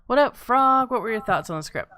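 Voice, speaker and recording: A woman's voice: on the high side, expressive, brisk, fairly bright tone, no room sound, studio quality. A woman hosting an informal live script table read, moderating feedback from the readers.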